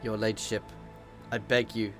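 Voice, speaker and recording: A man with a slightly hoarse voice reading aloud the lines of a desperate male peasant rebel prisoner, in a hushed, pleading way. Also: on the low side, flat delivery, normal speed, faint music behind, natural voice.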